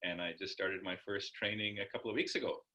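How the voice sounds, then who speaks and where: A man's voice: on the low side, quick pace, pushed and bright. A man, a facilitator, casually introducing himself on an online group call with dull audio.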